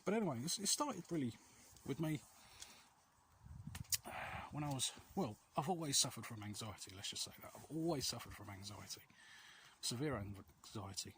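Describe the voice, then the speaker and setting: A man with a mid-pitched voice records a casual walking vlog outdoors, with clear noise and clicks around him. He talks in a hesitant, rambling way, confiding in listeners and putting himself down a little. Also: animated, moderate pace. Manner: hesitant, rambling, self-deprecating. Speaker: vlog host. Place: outdoors, quiet street and alleys.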